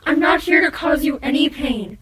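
A woman's voice: sits high, lively intonation, normal speed, very noisy. A woman acting an apologetic, anxious, emotional mother of a prince in a radio play, speaking hesitantly. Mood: remorseful. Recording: music behind the voice.

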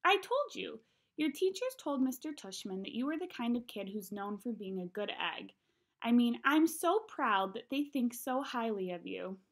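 Woman doing a coaxing storybook mom, gently insistent, really animated pitch. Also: moderate pace. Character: earnest, coaxing mother of a young boy.

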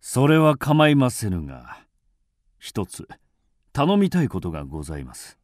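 An actor playing a mysterious, fearless undead avenger in a radio drama, speaking in a grim, theatrical, archaic manner. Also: male voice, mid-range voice, wildly swooping pitch, unhurried, darkish tone, no room sound, studio quality. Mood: courteous, guarded. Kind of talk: Japanese radio drama acting.

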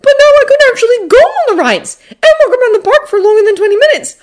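An excitable teenage boy from an audio story, acted out by a woman narrator. The voice sits very high and leaps around with bashful eagerness, hesitating and then rambling on. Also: normal speed. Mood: gleeful.

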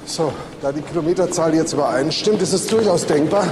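Brisk, fast-talking actor's male police detective. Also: on the high side, echoey room, noisy background, lightly acted. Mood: probing. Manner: brisk, clipped questioning. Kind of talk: naturalistic crime radio drama dialogue.

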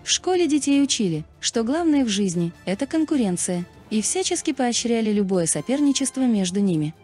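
A woman's voice: on the high side, very animated, brisk, a little mellow, breathy edge. A woman reading a scripted explainer narration as a narrator. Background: background music.

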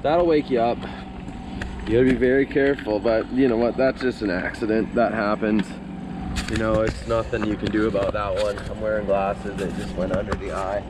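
A man's voice: lowish voice, level tone, moderate pace. A young man, a chainsaw carver, chatting casually to an action camera while he works in a large wood workshop. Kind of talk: casual vlog talk. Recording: echoey workshop with loud background noise. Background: workshop ambience and machinery noise.

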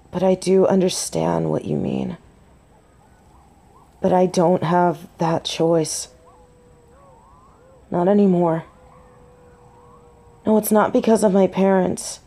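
A woman's voice: fairly low pitch, warm tone. A woman playing a tender, nervous young biker girlfriend in an intimate roleplay audio drama, speaking hesitantly and breathily. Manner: hesitant, breathy, halting delivery with whispers.

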